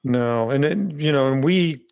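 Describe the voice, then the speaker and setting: Halting man with a dull, dry sound. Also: medium pitch, vocal fry, darkish tone, clear, resonant tone, no echo. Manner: halting, with frequent restarts. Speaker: magazine publisher. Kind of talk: casual interview conversation.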